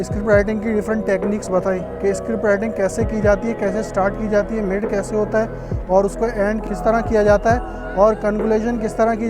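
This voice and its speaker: A student speaks off the cuff about training in a halting way, with pauses between phrases. The pitch stays in a narrow, even band, and music plays clearly behind the voice. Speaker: filmmaking student. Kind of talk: off-the-cuff testimonial to camera. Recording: music playing over the voice. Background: background music.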